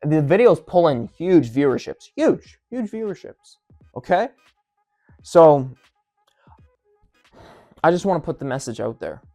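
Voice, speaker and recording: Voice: mid-range voice, very animated, a little slow, pauses often, warm tone. Emphatic, pleading commentator over music. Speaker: video creator giving commentary. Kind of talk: opinionated exposé rant straight to listeners.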